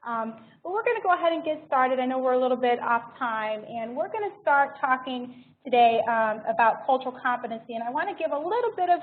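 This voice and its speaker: A woman's voice: high-pitched, animated, a little fast, hardly any pauses. An American woman in her forties giving an informal presentation to students as a guest speaker. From United States. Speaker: guest speaker, healthcare diversity director. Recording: thin phone-like sound with faint noise.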